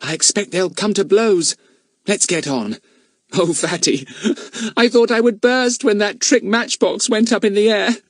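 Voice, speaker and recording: A man voicing an excitable young boy who speaks in eager, breathless exclamations. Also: high voice, a little slow, dry recording, clean studio sound, voice pushed up. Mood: excited.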